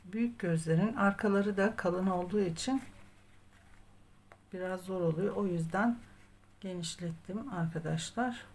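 Woman calmly giving step-by-step craft instructions. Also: medium pitch, moderate pace, pauses often. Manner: patient, methodical, often counting aloud. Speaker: crochet tutorial host.

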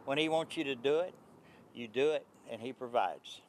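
A man's voice: mid-range voice, slight background noise. An older man, a pastor, speaking earnestly and plainly about faith in an interview outdoors at a building site. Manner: earnest, faith-filled, plain-spoken with pauses.

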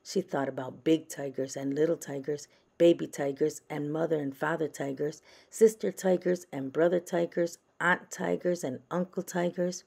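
Female picture-book reader: gentle read-aloud, low register, slightly gravelly creak. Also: steady tone, normal speed, few pauses. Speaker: storybook reader. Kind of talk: children's read-aloud narration.

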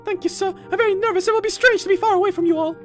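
A let's player acts out a gentle, sad young game heroine. Her voice is high and bright. She speaks earnestly and politely, with a nervous edge. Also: steady tone, racing, faint music behind.

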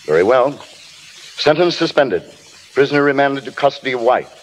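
An actor playing a disdainful night court judge, formal and authoritative, in a 1930s radio drama. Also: male voice, medium pitch, expressive, moderate pace, close to an everyday voice, poor audio. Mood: solemn. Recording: old hissy radio broadcast.

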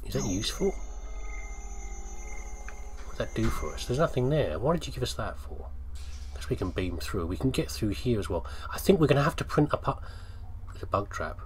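A man's voice: mid-range voice, big pitch swings, fairly quick, long pauses, a little mellow, a little hiss or hum. A man giving casual gameplay commentary, rambling and thinking aloud as he talks to himself. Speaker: gamer commentating on playthrough.

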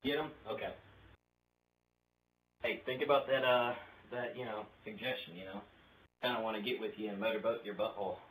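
A man's voice: fairly low pitch, steady tone, fairly quick, long pauses, a bit bright. Roomy, distant-sounding young man. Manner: hesitant, rambling, crude. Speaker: video creator making prank calls. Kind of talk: casual prank phone call. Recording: roomy, distant mic with background noise.

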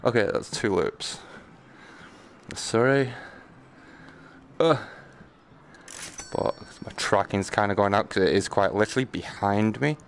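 Low-voiced, slightly creaky man streaming games. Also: measured pace, long pauses, a little hiss or hum. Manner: muttering to himself and exclaiming, slangy, sweary. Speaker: game streamer. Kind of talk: casual live gameplay commentary.

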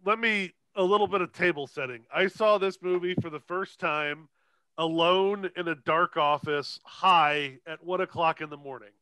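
A man's voice: on the high side, moderate pace, sharp tone, clear, resonant tone, no room sound, poor audio. A man co-hosting a podcast, chatting casually about films in a profane, irreverent way. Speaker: podcast co-host. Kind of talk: casual, unscripted film discussion.

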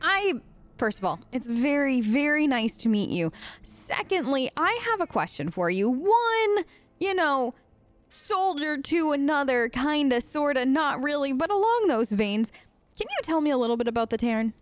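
A woman with a high voice chats her way through a role-play gaming video. She is polite and rambles as she goes, and the sound is close and dry. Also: big pitch swings, normal speed, no room sound. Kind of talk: improvised role-play chat over a video game.

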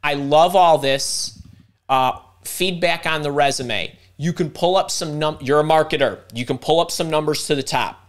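Middle-aged guy running a webcam workshop, kinda high voice, jumps around and restarts himself a lot. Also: normal speed. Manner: animated and self-interrupting, thinking aloud. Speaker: career coach hosting a live workshop. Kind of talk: live workshop coaching. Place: home room indoors. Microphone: video call webcam.

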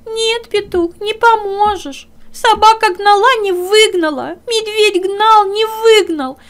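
A woman's voice: very high-pitched, slow, voice pushed up. A woman voicing a small, pitiful young male hare in a plaintive, whimpering storybook voice. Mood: plaintive, tearful.

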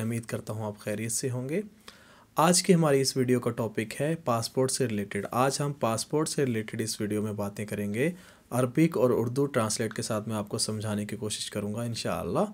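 Man, from Pakistan, indoor camera lesson, careful repeated phrasing, mid pitch. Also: darkish tone. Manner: repeats phrases slowly, rising pitch on examples. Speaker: language teacher. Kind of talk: casual video lesson. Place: small indoor room.

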